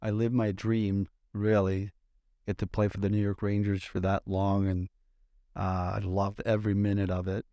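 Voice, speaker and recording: A man, hosting a casual podcast in a relaxed, thoughtful way, pausing to find his words. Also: lowish voice, no room sound. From Sweden. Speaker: podcast host.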